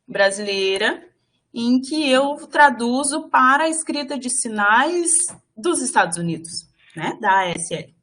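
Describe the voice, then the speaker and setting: A woman interpreter giving live voice to a signed lecture, speaking in halting phrases with frequent fillers and restarts. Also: fairly high pitch, a bit bright. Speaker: sign language voice interpreter for a workshop.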